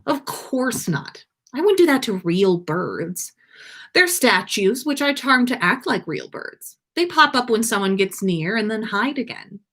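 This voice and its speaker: A woman's voice: fairly high pitch, sing-song, a little slow, a bit bright, exaggerated voice. A woman voicing a good-humored young male wizard in a storybook reading, sweetly teasing and proud.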